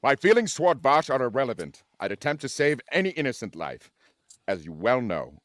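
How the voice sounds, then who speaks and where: A man's voice: mid-range voice, very animated, brisk, fairly bright tone, dry recording, studio quality. A man voicing a stern, indignant starship captain with a clipped, commanding, formal manner in a live script read. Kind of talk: live amateur script reading.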